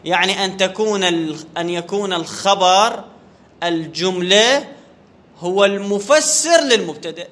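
A man's voice: sits high, normal speed, fairly bright tone. A man teaching a grammar lesson. He puts questions to the class, answers them himself and repeats the key terms. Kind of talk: classroom lecture on Arabic grammar.

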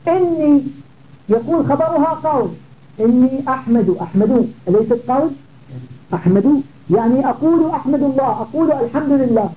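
A man's voice: extremely high pitch, lively intonation, fairly quick. A man teaching a classical grammar lesson. He explains methodically, repeats key phrases and stops to check that students follow. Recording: tinny phone-like audio with faint noise.

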